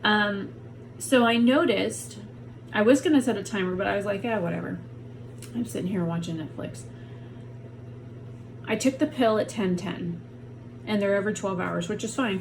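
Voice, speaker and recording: A woman's voice: medium pitch, normal speed, pauses often, background noise. A woman vlogger talking casually and frankly to the camera from home while in pain, rambling about her day. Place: at home on a heating pad.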